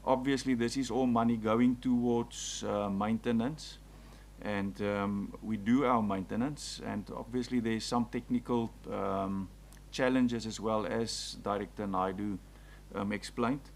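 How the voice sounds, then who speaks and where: Gravelly man reading figures. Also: lowish voice, measured pace, a little hiss or hum. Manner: reads out figures in a steady list with fillers. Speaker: executive mayor. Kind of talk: council meeting report. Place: council chamber.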